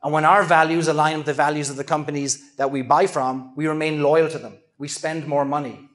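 A middle-aged man giving a conference keynote, earnest and measured, with self-deprecating asides. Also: on the high side, steady tone, fairly bright tone. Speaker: keynote speaker. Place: large event hall with an audience. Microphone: handheld microphone at a lectern. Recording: echoey hall.

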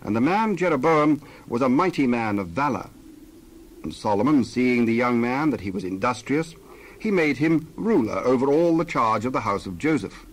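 A man narrating scripture as a scripted dramatized reading, measured and solemn, with careful phrasing. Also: medium pitch, lively intonation, poor audio.

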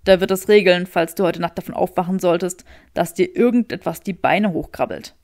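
A woman's voice: fairly low pitch, a little fast, darkish tone, pitched below the performer's own voice, lightly acted. A woman voicing a cocky, sardonic young man with a sarcastic drawl, in an audiobook story. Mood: dry sarcasm.

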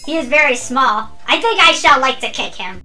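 A woman's voice: sits high, animated, moderate pace, pushed and bright, faint music behind, heavily acted. A woman voicing a childlike cartoon ghost girl, dim and cheerful, with a naive, cutesy delivery in a comic dub.

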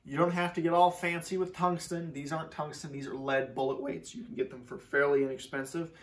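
A young man from Minnesota explaining fishing tackle in a casual, relaxed way, with lots of fillers, seated in a garage. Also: fairly high pitch, a little fast, recorded from a distance. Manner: relaxed, many fillers, repeats words for emphasis. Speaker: fishing channel host. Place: garage workshop, seated indoors. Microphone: camera a few feet away.

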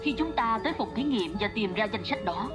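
A confident, breezy young big-sister leader from a cartoon dub, acted by a woman. The voice sits fairly high and moves expressively, with clear music behind it. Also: expressive, brisk, lightly acted. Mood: serious, explaining. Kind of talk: dubbed cartoon dialogue. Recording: music under cartoon dialogue.

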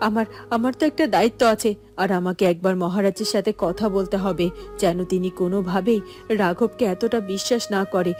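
A woman's voice: mid-range voice, darkish tone, lightly acted. A worried, suspicious cartoon queen, played by a woman. She frets in a mid-range voice, doubling back on her words, with light music in the background. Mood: anxious, resolute. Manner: fretful, repeats words haltingly. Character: anxious, suspicious queen, protective mother of a prince. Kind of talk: Bengali cartoon voice acting.

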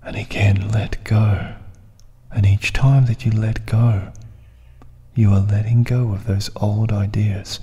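A man with a dark, mellow voice leads a guided meditation in soft, breathy phrases. His delivery is very slow and soothing, with long pauses. The voice sits in a long reverb over music. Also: fairly low pitch, steady tone.

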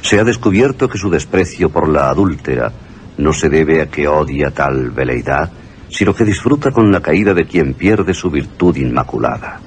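An actor playing a stern, moralizing guardian in a radio drama, grave and formal. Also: male voice, extremely low pitch, lively intonation, normal speed, slight background noise. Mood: grave, disapproving. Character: stern male guardian reading a warning letter. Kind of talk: Spanish radio drama acting.

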